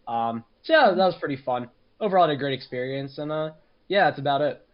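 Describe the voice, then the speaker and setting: A man's voice: on the high side, expressive, fast, muffled recording. A man talking casually as a panelist on a group podcast. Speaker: podcast panelist, fandub chapter editor.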